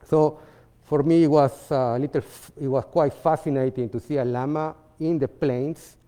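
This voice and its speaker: A man from Peru with a Peruvian Spanish accent, presenting his artwork in a seminar talk, halting with fillers and restarts. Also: a little high, steady tone, dark tone. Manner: halting, many fillers and restarts, non-native phrasing. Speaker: visiting artist giving a seminar talk.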